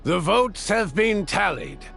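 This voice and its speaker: Male assembly chairman, video game, formal, measured, lively intonation, fry in the voice. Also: high-pitched, soft background music, exaggerated voice. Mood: solemn, ceremonious. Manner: formal, ceremonious. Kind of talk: scripted video game acting, formal proceedings.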